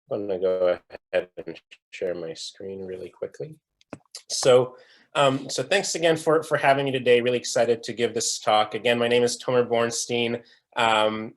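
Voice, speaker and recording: A young man from Israel giving a presentation at an online breakout session, earnest, with frequent fillers and restarts. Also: mid-range voice. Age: young adult. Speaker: conference speaker. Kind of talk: presentation talk.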